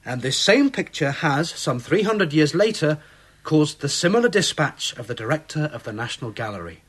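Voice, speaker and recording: An actor playing a skeptical police inspector in a radio play, clipped and dismissive. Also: male voice, on the high side, very animated, normal speed, breathy edge. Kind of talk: radio drama acting.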